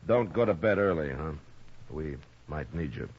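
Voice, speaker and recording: A man's voice: extremely low pitch, lively intonation, moderate pace, husky, heavily acted. An actor playing a weary, stern western marshal in a 1950s radio drama, grave and laconic in his delivery. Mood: sober, weary. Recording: muffled, noisy 1950s radio recording.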